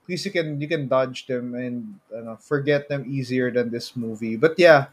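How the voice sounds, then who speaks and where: A man's voice: mid-range voice, moderate pace, husky. A man with a Filipino accent co-hosting a podcast in a casual, conversational style. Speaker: podcast co-host. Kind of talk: casual chat about movies.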